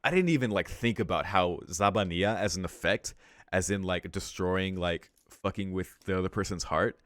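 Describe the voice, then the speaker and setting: A man reading a visual novel's story aloud for a let's play, breaking into casual, excitable reactions with some swearing. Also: low voice, normal speed, dry recording, clean studio sound. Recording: music behind the voice.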